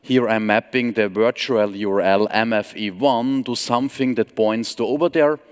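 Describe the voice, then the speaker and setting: A man in his forties from Austria with an Austrian German accent, giving a conference tech talk from a stage with a relaxed, wry, self-deprecating humor. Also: on the low side, normal speed, clean tone. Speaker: conference speaker. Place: large conference hall stage. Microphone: headset mic. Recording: long hall reverb.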